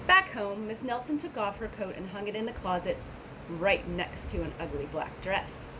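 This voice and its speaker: A woman's voice: mid-range voice, animated, moderate pace, background noise. A woman reading a children's storybook aloud, adding casual asides. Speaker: storybook reader.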